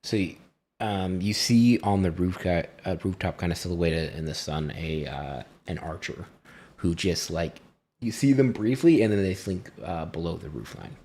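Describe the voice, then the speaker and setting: A man acting as game master, narrating and explaining a tabletop game in a halting, conversational way. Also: low voice, a little mellow.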